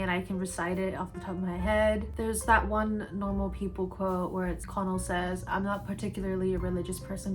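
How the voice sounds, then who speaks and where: Chatty young Brazilian-accented woman vlogger. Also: mid-range voice. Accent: Brazilian with American-learned English. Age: 20s. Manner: chatty, rambling, slang-heavy. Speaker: book vlogger. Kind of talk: casual sit-down Q&A chat.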